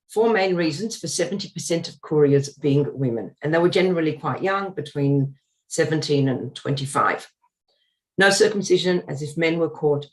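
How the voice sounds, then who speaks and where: A man with a fairly high voice gives an earnest community lecture. He reads parts of it from his notes and fills the gaps with ums and uhs. He explains things in a plain, steady way. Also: rough recording. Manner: hesitant, frequent ums and uhs. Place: synagogue talk.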